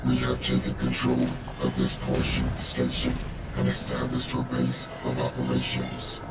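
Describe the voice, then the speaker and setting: An actor playing a cold, analytical robot intelligence officer in a video game, reporting in formal, clinical terms. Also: male voice, extremely low pitch, moderate pace, vocal fry. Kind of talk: dramatic video game voice acting. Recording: echoey, distant, loud music behind.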